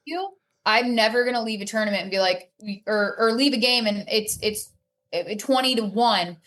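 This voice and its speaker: A woman's voice: fairly high pitch, moderate pace, a bit bright. A woman speaking casually and emphatically as an opinionated player being interviewed on a sports podcast.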